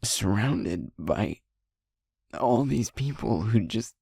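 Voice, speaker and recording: A man playing a guilt-ridden young werewolf boyfriend in an intimate audio roleplay, speaking haltingly and rawly in a husky, creaky, breathy voice. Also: fairly low pitch, lively intonation, mellow, no room sound, studio quality, exaggerated voice. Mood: grieving, hopeless. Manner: halting, emotionally raw, broken-up phrases.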